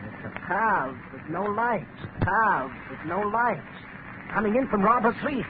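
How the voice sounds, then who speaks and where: A man's voice: high-pitched, lively intonation, a little slow. An actor playing a polite, cautious henchman with a hesitant, genteel manner in a 1930s radio drama. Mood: flustered. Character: polite, nervous male henchman, cautious accomplice. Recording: noisy, tinny 1930s radio recording.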